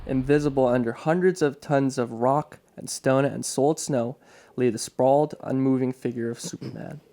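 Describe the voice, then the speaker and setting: A man's voice: mid-range voice, moderate pace, warm tone. Male narrator for radio serial, middle register, dramatic scripted read with small slips. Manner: stumbles and repeats words while reading.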